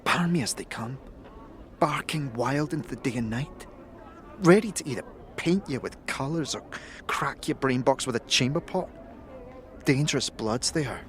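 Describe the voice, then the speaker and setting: A woman's voice: very deep voice, animated, moderate pace, a little hiss or hum. A woman playing a brash, teasing and wary young female street thief in a fantasy game audio drama, speaking in street slang. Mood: cautioning.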